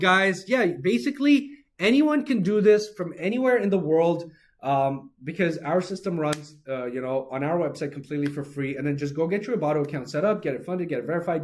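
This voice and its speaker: A man hosting an informal trading livestream, hyping with catchphrases and answering viewer comments as he goes. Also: sits high. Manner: hype-driven, informal, repeats catchphrases. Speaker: livestream host, trader. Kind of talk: live-stream sales pitch and chat replies. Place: office.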